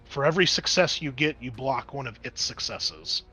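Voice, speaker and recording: Male game master, mid-pitched and bright, casual rules talk and narration over faint music. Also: sing-song, normal speed. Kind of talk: running a tabletop roleplaying game, casual.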